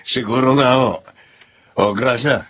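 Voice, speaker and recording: A man with a Filipino accent playing an earnest, patient husband and son in a radio drama, gently reasoning. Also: mid-range voice, a little slow. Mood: easygoing. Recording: dull lo-fi audio, faint music behind.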